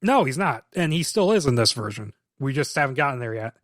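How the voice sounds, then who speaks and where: A man's voice: mid-range voice, sing-song, a little fast, fairly bright tone, no room sound, studio quality. A man co-hosting a podcast, recapping a film script plot in detail and giving blunt, opinionated takes with casual swearing. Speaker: podcast co-host.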